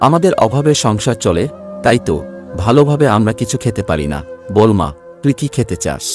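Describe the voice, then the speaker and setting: A man's voice: mid-range voice, sing-song, fairly quick, darkish tone, soft background music, close to an everyday voice. A man playing a hardworking poor vegetable farmer and doting father in a cartoon, earnest and good-natured. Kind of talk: Bengali cartoon voice acting.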